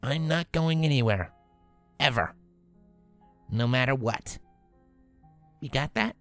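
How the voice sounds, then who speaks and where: A man voicing a protective, tender female pegasus pony in a fan fiction story, talking softly and reassuringly. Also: medium pitch, slow pace, soft background music, voice pushed up. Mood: firm, reassuring. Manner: soft, gently reassuring.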